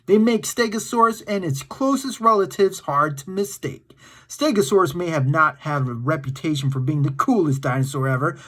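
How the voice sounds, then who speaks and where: A man's voice: sits high, a bit bright. A man reading a factual children's book aloud as storytime host, breaking into informal, encouraging asides to his young listeners. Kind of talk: read-aloud with casual asides to kids.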